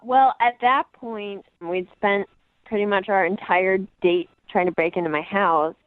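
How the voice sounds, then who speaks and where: A woman's voice: medium pitch, fairly even intonation, unhurried, dry recording. A woman calling in by phone, casually telling a story in a chatty, self-justifying way. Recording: dull phone line.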